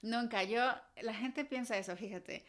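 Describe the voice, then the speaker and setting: A woman's voice: a little high, unhurried, fairly bright tone. A Mexican woman in her thirties, a podcast guest talking in an earnest, easygoing way that often trails off and restarts. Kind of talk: casual interview chat.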